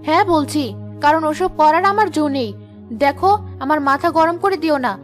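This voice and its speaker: A woman playing a hot-headed young village wife and mother in a cartoon drama, sharp and accusing, firing off rhetorical questions. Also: high voice, brisk, faint music behind, natural voice. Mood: irritated. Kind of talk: Bengali cartoon drama acting.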